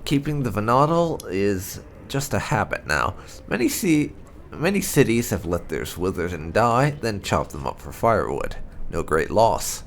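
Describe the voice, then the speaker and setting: A low-pitched man narrates fantasy lore like a deliberate storyteller. His voice has a gravelly creak and rises and falls with lively, dramatic intonation. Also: a little hiss or hum. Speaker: gameplay channel host. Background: music.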